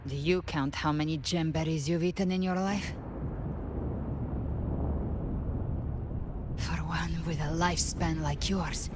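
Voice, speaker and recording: A woman's voice: lowish voice, noisy background, lightly acted. An actress playing a proud, defiant young woman warrior in a video game, with cool, blunt, scornful delivery. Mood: cool taunting. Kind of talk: scripted video game cutscene acting.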